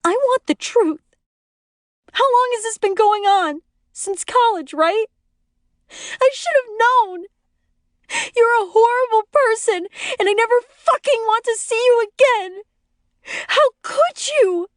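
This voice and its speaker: A woman voicing a hysterical, jealous pregnant wife in an audiobook, screaming shrill accusations. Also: huge pitch swings, moderate pace, hardly any pauses, a bit bright, dry recording, studio quality. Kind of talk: acted audiobook dialogue.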